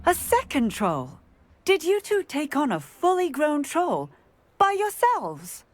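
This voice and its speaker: An actress playing a brisk village officer in a video game, talking fast with sharp exclamations. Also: female voice, high voice, wildly swooping pitch, noisy background, exaggerated voice. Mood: amazed. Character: brisk village officer, adult woman, startled but warm. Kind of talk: video game cutscene acting.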